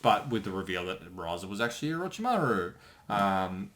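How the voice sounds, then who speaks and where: Low-voiced man, halting casual commentary. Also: very animated, a bit bright. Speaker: creator giving episode commentary.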